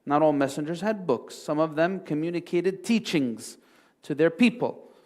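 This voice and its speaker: A man's voice: a little high, expressive, moderate pace, darkish tone. A man speaking as a religious teacher in an informal lecture, explaining step by step and checking in with listeners through short questions. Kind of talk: informal Islamic class lecture, answering a question.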